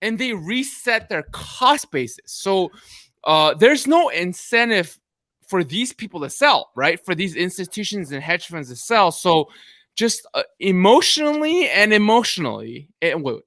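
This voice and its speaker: A man's voice: high voice, big pitch swings, unhurried, a bit bright, dry recording, clean studio sound. A man whose first language is Korean, tipsy and rambling with many restarts as a panelist on a casual video call chat. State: drunk. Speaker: podcast panelist.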